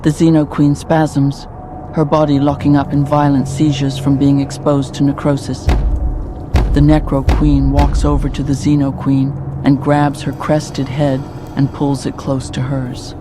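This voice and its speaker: A man's voice: mid-range voice, monotone, a little slow, background noise. A man giving dramatic scripted narration for a horror audio drama.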